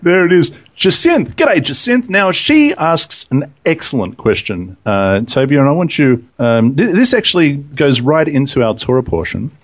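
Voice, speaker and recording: A man with an Australian accent hosting a podcast, upbeat and animated as he reads out listener comments. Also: on the high side, moderate pace, hardly any pauses. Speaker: podcast host. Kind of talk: casual chat.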